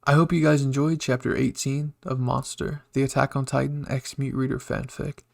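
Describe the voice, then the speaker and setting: A man's voice: medium pitch, little pitch movement, moderate pace, vocal fry, dry recording. A man narrating a fan fiction story and hosting his reading channel. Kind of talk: narrating a listener roleplay story.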